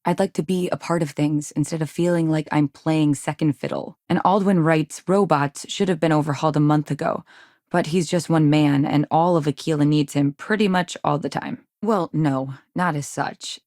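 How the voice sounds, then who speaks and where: A woman's voice: low-pitched, fairly even intonation, moderate pace, a little mellow, dry recording, studio quality, lightly acted. A woman acting in a video game as a frustrated, ambitious businessman's wife in a frontier city, with a polished, earnest, exasperated manner. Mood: wistful, frustrated.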